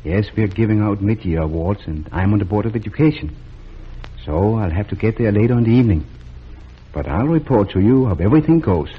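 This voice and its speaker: A kindly small-town doctor. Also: male voice, lowish voice, expressive, brisk, husky. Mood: gentle. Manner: gentle and reassuring. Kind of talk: 1940s radio acting. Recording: muffled old radio broadcast.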